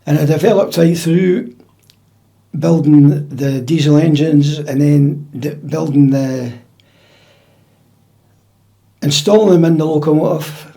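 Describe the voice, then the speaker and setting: An older man with a Scottish accent recalling his life in a measured, matter-of-fact conversational way. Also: a little high, vocal fry, mellow. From central Scotland. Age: older, about 80. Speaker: interviewee, piping-band drummer. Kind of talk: conversational life-story recollection. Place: indoors at home.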